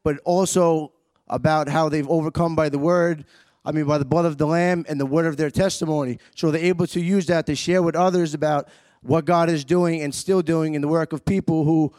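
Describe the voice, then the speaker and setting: A man who leads a ministry program, introducing a testimony in church with steady, preacherly phrasing. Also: on the high side, fairly quick.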